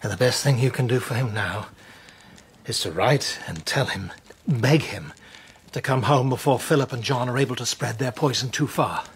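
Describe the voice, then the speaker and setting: An actor playing a loyal medieval knight and adviser in a historical audio drama, speaking with respectful, earnest candour. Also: male voice, medium pitch, moderate pace, slight background noise, natural voice. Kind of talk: historical radio drama acting.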